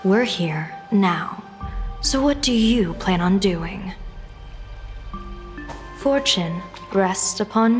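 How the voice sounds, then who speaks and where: A calm, slow-spoken general. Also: female voice, mid-range voice. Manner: calm, deliberate, measured. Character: calm, measured woman general, shrewd and composed. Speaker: game character. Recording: game cutscene with reverb and music.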